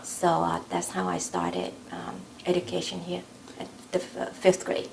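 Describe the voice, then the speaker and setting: Woman late 40s w vietnamese accent, native vietnamese speaker, telling her memories in an interview, bit gravelly, some noise behind. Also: on the low side, monotone, moderate pace, darkish tone, low-quality recording. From South Vietnam. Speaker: oral history interviewee. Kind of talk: recounting personal memories in an interview.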